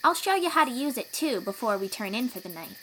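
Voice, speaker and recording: Woman voicing a high-voiced young sea-dweller warrior. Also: very animated, fast talker, a little hiss or hum, poor audio. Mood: encouraging, instructive. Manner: encouraging, instructive, gently teasing. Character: young female sea-dweller warrior, protective, teasing, encouraging. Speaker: voice actor in audio roleplay. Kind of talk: audio roleplay acting addressed to the listener.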